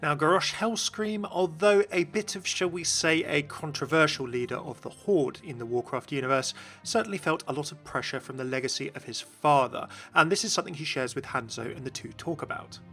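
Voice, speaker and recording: A man's voice: on the high side, brisk, few pauses, a bit bright, soft background music. A man hosting a gaming video with scripted, explanatory narration. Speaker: video host.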